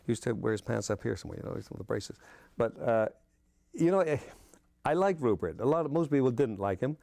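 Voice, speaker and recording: A man's voice: mid-range voice, lively intonation, brisk, vocal fry, mellow, dry recording. An older man, a veteran radio actor, reminiscing casually in an interview in a blunt, colloquial way. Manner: blunt, colloquial, punctuated with "you know".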